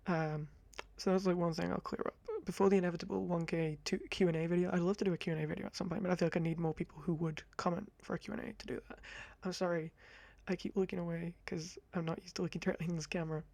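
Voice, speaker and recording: A young man in his twenties with a high-pitched voice, rambling casually to camera in a chatty, self-deprecating ASMR talk on a close mic. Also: level tone, racing, creaky, a little mellow, no echo. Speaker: ASMR creator. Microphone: close condenser mic.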